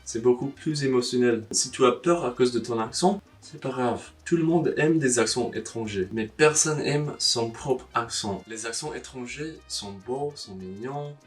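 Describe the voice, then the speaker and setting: A young man with a foreign accent talks straight to camera, blunt and wry, with casual swearing. He sits a little back from the mic. Soft guitar music plays underneath. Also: mid-range voice, moderate pace, few pauses, recorded from a distance. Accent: foreign, non-native French. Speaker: language-learning vlogger. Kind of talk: casual advice monologue to camera.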